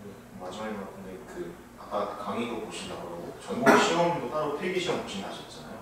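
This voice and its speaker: A man's voice: lowish voice, sing-song, fairly quick. A man asking questions as an attendee, agreeing often with short acknowledgements. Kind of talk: casual question-and-answer talk. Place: classroom. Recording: echoey classroom, distant mic, noisy.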